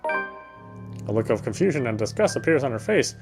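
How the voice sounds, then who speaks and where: A man's voice: mid-range voice, big pitch swings, moderate pace, over music. A man playing a visual novel game, reading its first-person narration aloud. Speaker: game player reading story text aloud.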